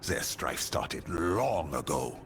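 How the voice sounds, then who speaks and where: A man's voice: sits low, sing-song, takes it slowly, a bit bright, heavily acted. An actor playing a stern, outspoken man who defends common people, grave and accusatory in video game drama. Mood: accusing. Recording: music behind the voice.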